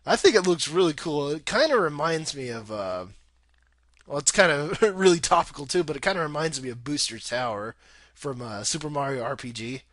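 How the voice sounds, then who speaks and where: A man's voice: a little high, expressive, a little fast, fairly bright tone, dry recording. A young man giving casual, rambling gameplay commentary in an informal way, swearing freely. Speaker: video game let's play host.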